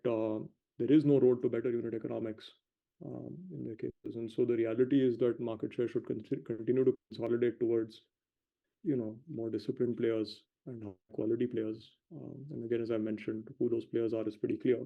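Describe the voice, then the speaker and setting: A male company executive giving measured, explanatory answers on an earnings call, hedging and pausing between short phrases. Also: medium pitch, creaky. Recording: dull lo-fi conference call audio.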